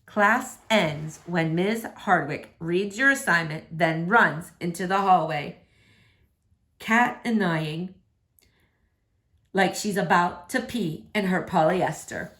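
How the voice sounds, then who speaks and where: A woman's voice: lowish voice, slow, fairly bright tone. A woman reading a novel in verse aloud, narrating expressively.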